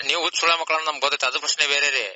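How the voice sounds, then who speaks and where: A man's voice: on the high side, steady tone, very fast, sharp tone, dry recording. A man with a North Karnataka accent making a prank phone call, insistent and repetitive. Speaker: prank caller. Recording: noisy phone call recording.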